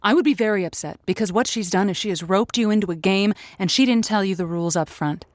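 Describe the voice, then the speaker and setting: A woman's voice: medium pitch, fast, dry recording, clean studio sound, natural voice. A woman playing a chatty, affectionate advice show host who talks in a flirty, familiar way and uses pet names. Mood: sympathetic, advising. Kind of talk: improvised comedic call-in chat.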